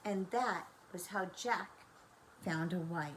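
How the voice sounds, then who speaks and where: Slow-paced older woman reading a bedtime story. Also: fairly low pitch, fairly bright tone, a little hiss or hum. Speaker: children's storyteller.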